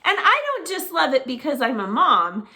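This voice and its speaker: A middle-aged woman teaching preschoolers, talking to small children in an animated, sing-song teacher voice. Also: sits high, moderate pace. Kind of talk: children's circle-time lesson to camera.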